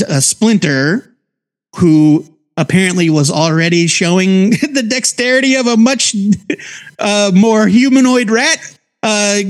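High-pitched man chatting about geeky interests. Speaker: co-host of a comics review podcast. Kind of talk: casual review chat.

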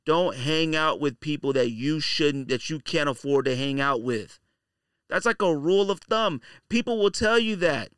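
A man's voice: a little high, animated, moderate pace, fairly bright tone, clear, resonant tone, no room sound, clean studio sound. A man hosting a podcast in a casual, opinionated monologue, blunt and slangy, repeating phrases for emphasis. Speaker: podcast host.

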